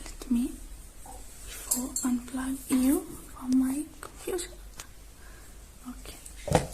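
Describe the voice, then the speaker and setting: Slow, whispering woman in ASMR roleplay. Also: high voice, warm tone, slight background noise. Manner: soothing, personal attention addressed to the listener. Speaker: ASMR creator playing a robot repair technician. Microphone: close ASMR microphone.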